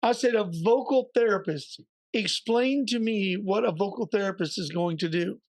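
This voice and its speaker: A man co-hosting a podcast, slightly hoarse and raspy, telling a story in a chatty way with joking asides. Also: high voice, moderate pace, dry recording. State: a little hoarse. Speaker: podcast co-host. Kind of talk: casual podcast chat.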